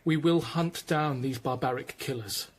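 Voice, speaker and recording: An actor playing a firm, secretive, pious Tudor court official in a radio drama. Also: male voice, medium pitch, a little slow, slight background noise, close to an everyday voice. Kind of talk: BBC radio drama acting.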